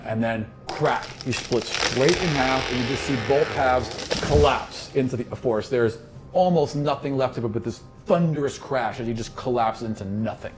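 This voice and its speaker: A man's voice: mid-range voice, moderate pace, over music, poor audio. A man working as game master, narrating a tabletop fantasy adventure to his players.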